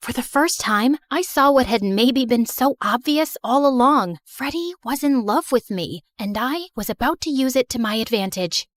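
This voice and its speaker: A woman's voice: fairly high pitch, lively intonation, normal speed, hardly any pauses, dry recording, studio quality, close to an everyday voice. A young woman playing an earnest teenage girl who narrates her own story in a dramatic, scripted style. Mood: calculating. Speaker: narrator of animated stories.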